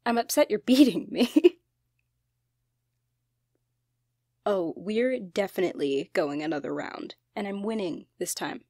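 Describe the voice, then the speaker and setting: A woman playing an expressive young genderfluid best friend in a conversational ASMR roleplay. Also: mid-range voice, moderate pace, dry recording, studio quality. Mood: mock outraged. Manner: teasing, casual, expressive, apologetic. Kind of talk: ASMR roleplay, one-sided conversational acting.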